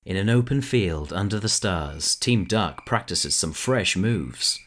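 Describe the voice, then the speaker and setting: Low-pitched, expressive audio drama narrator. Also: a little slow, slight background noise.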